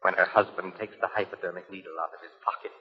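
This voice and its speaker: An actor playing a menacing cartoonist husband who gloats theatrically, in 1940s radio drama style. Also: male voice, sits low, steady tone, fast talker, close to an everyday voice. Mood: gloating menace. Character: menacing, gloating cartoonist husband plotting his wife's murder. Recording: muffled, reverberant old radio recording.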